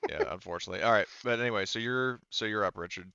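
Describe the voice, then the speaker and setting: Low, smooth-voiced man refereeing a game. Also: very fast, bright, forceful tone, no room sound, highs cut off. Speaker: game master. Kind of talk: casual tabletop game refereeing.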